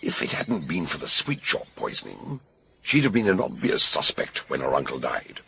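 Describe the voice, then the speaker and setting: An actor playing a blunt British detective who reasons emphatically in a radio mystery drama. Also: male voice, extremely low pitch, big pitch swings, gravelly, pushed and bright, slight background noise, exaggerated voice. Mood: measured. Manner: emphatic, argumentative, deliberate.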